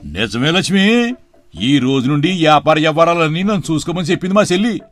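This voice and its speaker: A man acts out a crafty, greedy middle-aged villager from a moral story. His voice sits a little high and he talks in a colloquial rural Telugu dialect, smooth and reassuring on the surface. Soft music runs underneath. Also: expressive, fairly quick. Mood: gleeful scheming.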